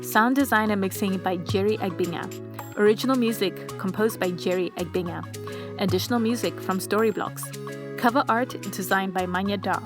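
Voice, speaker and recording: A woman's voice: mid-range voice, normal speed. A woman, the show's creator, delivering a scripted podcast outro with credits and a request to listeners. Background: music under the voice.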